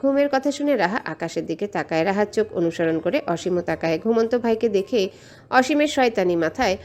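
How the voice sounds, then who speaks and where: A female storyteller with a medium-pitched voice narrates a romantic tale from a script. Faint music runs behind her reading. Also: lively intonation, fairly quick, a little mellow.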